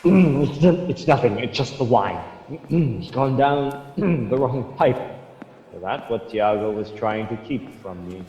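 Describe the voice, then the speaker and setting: An actor playing a proud, surly Franciscan friar in a radio drama, haughty and blustering. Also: male voice, medium pitch, animated, slow pace, mellow, dull audio. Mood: flustered. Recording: echoey hall-like reverb.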